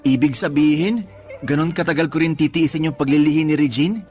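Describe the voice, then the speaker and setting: Actor as exasperated expectant father, higher-pitched voice. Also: steady tone, brisk, a little mellow, soft background music, lightly acted. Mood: weary, puzzled. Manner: complaining, colloquial storytelling. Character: exasperated man with a craving, pregnant live-in partner. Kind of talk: Tagalog radio drama dialogue.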